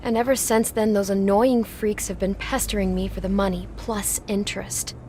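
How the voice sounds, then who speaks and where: A woman's voice: mid-range voice, little pitch movement, normal speed, darkish tone, slight background noise, lightly acted. A woman playing an anxious, embarrassed young woman in a video game, hesitant and apologetic. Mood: ashamed, pleading.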